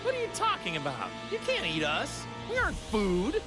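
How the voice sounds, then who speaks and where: A woman's voice: medium pitch, wildly swooping pitch, normal speed. A woman voicing an earnest, indignant young heroic fighter in an anime video game, with emphatic delivery. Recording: very loud music under voice.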